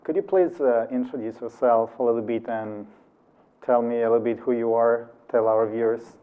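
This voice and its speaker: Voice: medium pitch, steady tone, normal speed, clean tone. An interview host who welcomes a guest and asks questions in an encouraging way, often replying with short back-channel sounds. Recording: tinny phone-like sound.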